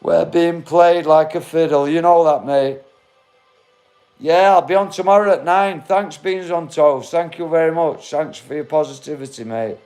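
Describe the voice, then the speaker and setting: A man's voice: a little high, normal speed, a little mellow, soft background music. A man in his forties hosting a casual livestream, talking bluntly and swearing, calling his viewers mate and bro. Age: 40s. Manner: blunt, matey, swears, calls everyone mate. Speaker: livestream host. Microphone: phone.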